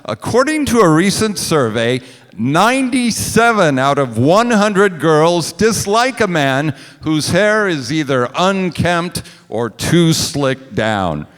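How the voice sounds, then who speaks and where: Slow, theatrical male radio announcer, hall reverb. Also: fairly high pitch, very animated, a bit bright. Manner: theatrical, deliberate, emphatic. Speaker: radio play director and announcer. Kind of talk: 1940s radio announcing, scripted ad reads. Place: stage of a large hall. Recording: echoey stage hall, music behind.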